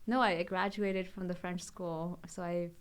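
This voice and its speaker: A woman's voice: fairly low pitch, level tone, unhurried, clean tone. A young woman with an American accent chatting casually as a podcast crew member, giggly and self-deprecating. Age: young adult. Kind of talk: casual group chat.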